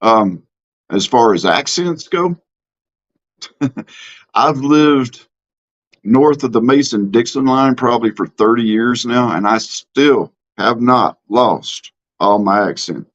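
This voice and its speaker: A man's voice: mid-range voice, slow, muffled recording. Plainspoken Southern man on a live stream. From Mississippi. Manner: plainspoken, drawling, many ums and restarts. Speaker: livestream host. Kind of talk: casual live-stream chat reading comments.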